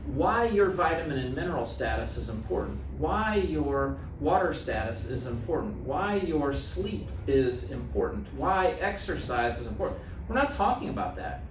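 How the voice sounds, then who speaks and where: A man's voice: on the high side, sing-song, normal speed, recorded from a distance. An American man in his thirties, plain-spoken, repeating phrases for emphasis in a casual podcast conversation. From small town in the USA. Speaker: guest health practitioner. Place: small office meeting room. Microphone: podcast mic on boom arm. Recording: roomy, off-mic sound, faint noise.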